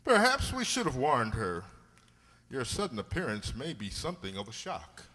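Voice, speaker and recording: Man acting blustery Doctor Watson, wildly animated. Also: medium pitch, normal speed. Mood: anxious. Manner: blustering, old-fashioned exclamations. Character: Doctor Watson, blustering, indignant, kindly gentleman. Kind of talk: radio drama acting. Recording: reverberant hall sound.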